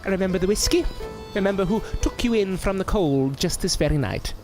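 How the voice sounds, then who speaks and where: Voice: medium pitch, lively intonation, a little fast, a little mellow, lightly acted. An actor playing a smug, bullying, malicious master in an audio drama, with a sneering, theatrical way of taunting. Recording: music under the voice.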